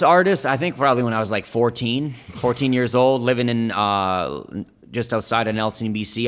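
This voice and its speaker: A man's voice: mid-range voice, fairly bright tone, in a large hall. A young man whose first languages are German and Italian, telling his life story in a rambling, slangy way in a casual podcast interview. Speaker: guest rapper.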